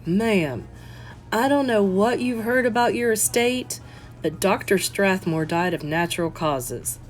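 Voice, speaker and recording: A woman voicing a guarded, gruff but courteous male police detective in an audiobook, measured and terse. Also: mid-range voice, animated, close to an everyday voice. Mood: suspicious. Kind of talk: audiobook character voice.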